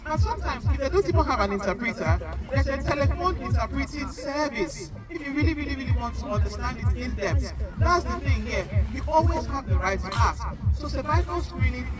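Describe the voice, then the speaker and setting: A woman doctor with a Nigerian accent and Igbo as her first language speaks in a very high voice. She addresses a community group informally, stressing points by repeating words. Loud music plays behind her. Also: animated, brisk, over a noisy crowd, low-quality recording. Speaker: doctor giving a health talk. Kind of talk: informal public health talk to a community group.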